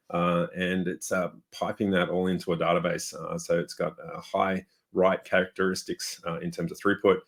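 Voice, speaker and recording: A man with an Australian accent, a developer guest on a webinar, chatting casually with lots of fillers. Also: deep voice. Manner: relaxed, rambling, many fillers and restarts. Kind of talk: casual tech chat.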